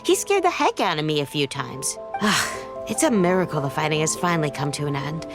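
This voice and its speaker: Lowish, lively-voiced wary villager. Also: female voice, fairly quick. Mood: uneasy. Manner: conversational, gossipy. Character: wary, chatty female villager, war-weary and helpful. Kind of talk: anime game voice acting. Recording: game music behind the voice.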